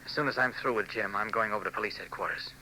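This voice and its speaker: A confident reporter hero from a 1940s radio drama, calm and assured. His low voice comes across very bright and crisp. Faint hiss of the old broadcast sits behind him. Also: rapid-fire, rough recording.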